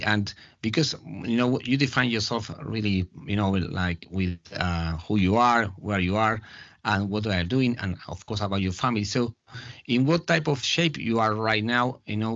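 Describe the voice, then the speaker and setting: Male host, halting. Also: lowish voice, a bit bright, no echo, dull audio. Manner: halting, searching for words. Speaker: podcast host, artistic director. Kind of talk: casual interview conversation.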